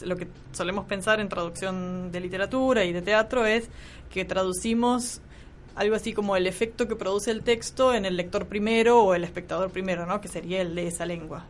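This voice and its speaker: A woman's voice: mid-range voice, a little hiss or hum. A woman from Córdoba, Argentina, with a Córdoba accent, explaining translation thoughtfully in a radio interview. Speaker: translator, guest.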